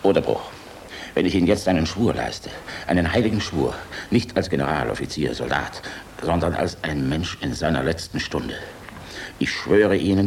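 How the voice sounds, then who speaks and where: Casting: a tormented, defiant general, low voice, gruff to impassioned, old radio drama with audible noise. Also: male voice, exaggerated voice. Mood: urgent, solemn. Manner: emphatic, rhetorical, swinging from gruff to impassioned. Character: weary, bitter German air force general, defiant and tormented. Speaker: actor in a radio play. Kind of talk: theatrical radio drama acting.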